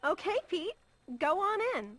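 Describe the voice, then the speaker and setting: An actress playing a shrill, excitable city bystander in a video game. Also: female voice, measured pace, exaggerated voice. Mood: friendly.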